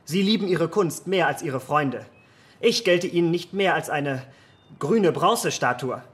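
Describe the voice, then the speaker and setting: A man's voice: on the high side, unhurried, close to an everyday voice. An actor playing a vain, impetuous young man in a German radio play, speaking in a fervent, melodramatic way. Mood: bitter, wounded.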